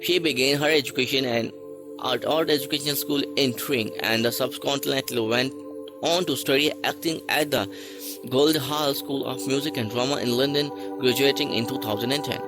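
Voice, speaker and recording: Man reading a list script haltingly. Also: medium pitch, steady tone, over music, rough recording. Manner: halting reading with stray articles and restarts. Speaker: narrator. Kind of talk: read-aloud list narration.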